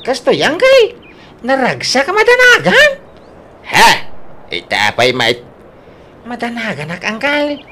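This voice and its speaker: Fretful grieving woman for Ilocano radio drama, Ilocano accent, sharp and animated, exclamatory. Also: a little high, measured pace, pauses often, heavily acted. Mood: anxious, fretful. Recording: faint background noise.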